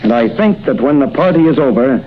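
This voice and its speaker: A man's voice: mid-range voice, expressive, brisk, natural voice. A man playing a bold masked hero. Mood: assured. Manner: bold, heroic declarations. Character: confident adult male masked crimefighter. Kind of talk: 1940s radio acting. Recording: muffled old radio recording with hiss.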